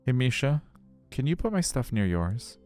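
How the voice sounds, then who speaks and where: A man's voice: medium pitch, sing-song, moderate pace, darkish tone, soft background music, voice pushed up, natural voice. A man voicing an uneasy, reluctant tween boy in a visual novel game. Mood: tentative. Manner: hesitant, reluctant.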